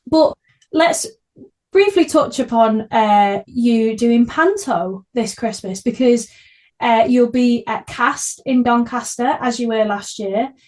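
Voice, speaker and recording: Female podcast host, higher-pitched voice, casual and chatty, openly effusive. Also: slow, darkish tone.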